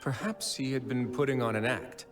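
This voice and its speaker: A man voicing a composed, shrewd, gentlemanly fortress overseer in a video game, articulate and deliberate. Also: mid-range voice, fairly even intonation, over music, natural voice. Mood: thoughtful.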